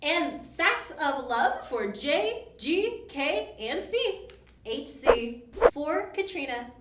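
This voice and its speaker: A woman reading a children's storybook aloud in an expressive style. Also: high-pitched, takes it slowly. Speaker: storybook reader. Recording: echoey room, distant mic, faint noise.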